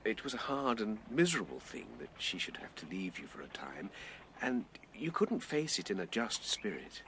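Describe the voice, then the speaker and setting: A gentle, earnest literary friend in a period radio drama. He speaks formally and tactfully, with lively, pleading intonation over some noise. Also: lowish voice, moderate pace.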